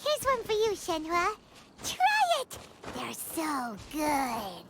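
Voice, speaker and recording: A woman voicing a tiny, childlike fairy companion in a video game, squeaky, bubbly, eager and friendly. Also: huge pitch swings, slow pace, heavy background noise, full character voice. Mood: upbeat. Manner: bubbly, exclamatory, refers to self in third person. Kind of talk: video game character acting. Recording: music playing behind the voice.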